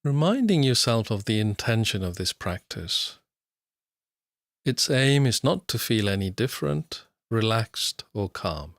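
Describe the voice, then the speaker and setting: A man with a fairly low voice guides a meditation in a dry recording with very little room sound. He speaks in short, measured instructional phrases. He leaves long, unhurried pauses between them. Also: sing-song, dry recording. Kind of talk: guided body scan meditation, slow instructional narration.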